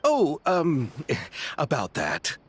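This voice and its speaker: A man's voice: fairly high pitch, wildly swooping pitch, drawn-out words, noisy background, heavily acted. A man voicing a flamboyant, dreamy film producer in a game, speaking in theatrical, florid phrases. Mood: sheepish. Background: music.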